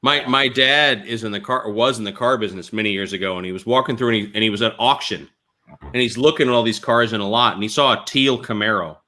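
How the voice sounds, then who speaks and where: A man chatting casually and telling stories in an animated, informal way. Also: fairly low pitch, fast talker. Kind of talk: casual storytelling chat.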